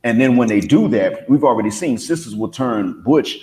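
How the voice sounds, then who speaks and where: A man who is a guest on a live stream, arguing his points one by one in a measured, casual debate. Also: medium pitch, lively intonation, dark tone. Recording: roomy echo.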